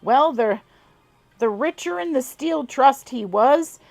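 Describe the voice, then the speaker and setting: A woman's voice: sits high, animated, takes it slowly, vocal fry, no echo, pitched above the performer's own voice, exaggerated voice. A woman voicing a grumbling old rural man with a put-on Southern twang, telling a family story in a folksy drawl. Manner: folksy drawl, dropped endings.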